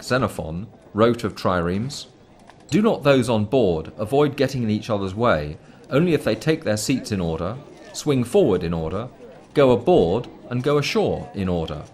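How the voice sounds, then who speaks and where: A man reading a scripted documentary commentary in a formal, measured way. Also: fairly low pitch, a little mellow, slight background noise. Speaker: narrator.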